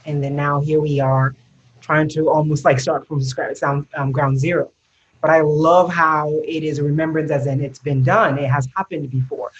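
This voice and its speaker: A podcast host who praises the guest warmly and asks long, winding questions. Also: mid-range voice, steady tone, moderate pace, breathy edge, highs cut off. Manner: effusive, affirming, rambling questions. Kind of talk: podcast interview.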